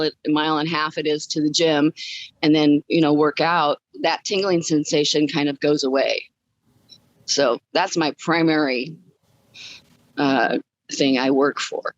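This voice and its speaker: A woman's voice: sits low, steady tone, a little slow, muffled recording. A woman guest telling her personal story in a casual, upbeat way during a podcast interview. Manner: upbeat, chuckles as she talks.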